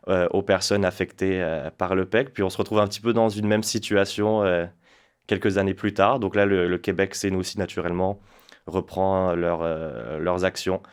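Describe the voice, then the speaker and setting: A man's voice: low-pitched, clean tone. A man in his twenties from France, a guest spokesperson talking casually in an interview, with lots of hesitations and restarts. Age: 20s. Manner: hesitant, many fillers and restarts.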